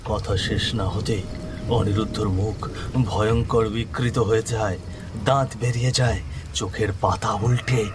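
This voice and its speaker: A man with a slightly low voice narrates a horror story. His delivery is scripted and dramatic, set against clearly noisy night ambience with insects.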